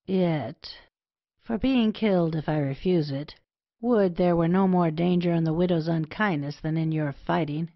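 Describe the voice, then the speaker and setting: A proud young gentleman suitor acted by a woman in an old stage comedy. The voice is quite low, with dry, wry, archaic phrasing. The sound is lo-fi and without room echo. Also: unhurried. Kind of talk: period comedy audio play acting. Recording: dull, dry lo-fi audio.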